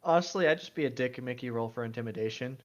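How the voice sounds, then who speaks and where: A man's voice: mid-range voice, quick pace. A man casually reading stories aloud and bantering as a co-host, blunt and joking, on a lo-fi recording. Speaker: co-host reading online tabletop gaming horror stories. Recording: dull, lo-fi microphone sound.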